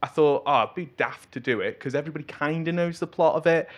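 A man chatting casually and comically as an interview guest, with a rambling, animated, self-mocking manner. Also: a little high, fast talker. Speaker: musical comedian, interview guest.

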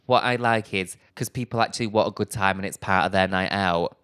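A man's voice: fairly low pitch, brisk, fairly bright tone, smooth. A man, a stand-up comedian, chatting in a radio interview, camp and self-mocking, cracking jokes as he goes.

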